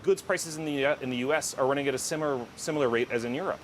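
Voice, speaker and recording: A man's voice: mid-range voice, rapid-fire, a little hiss or hum. A man answering as an economic policy guest in a news interview, explaining and firmly rebutting.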